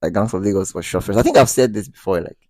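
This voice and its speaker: A man, co-hosting a podcast in casual chat, animated and quick to defend his views, laughing often and restarting sentences. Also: lowish voice, no room sound, clean studio sound. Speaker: podcast co-host.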